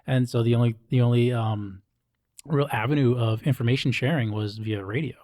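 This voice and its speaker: A man hosts a casual interview show, speaking conversationally at a middle pitch. The sound is close and dry, with very little room around it. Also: fairly quick, darkish tone, dry recording. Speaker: show host.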